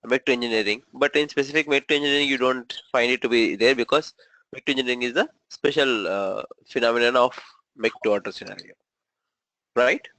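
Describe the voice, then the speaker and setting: A man's voice: mid-range voice, fairly bright tone, no echo, poor audio. A man with a cold teaching an online training session, explaining step by step and often checking in with "right?". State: has a cold, voice low. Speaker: SAP trainer. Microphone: video call.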